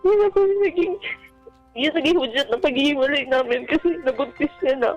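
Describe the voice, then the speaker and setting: A woman with a very high voice phones in to a radio show to share her own story. She speaks politely and haltingly, with earnest delivery. The line is dull and lo-fi, with music playing clearly underneath. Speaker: caller to a radio advice show. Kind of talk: personal storytelling in a radio call. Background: background music.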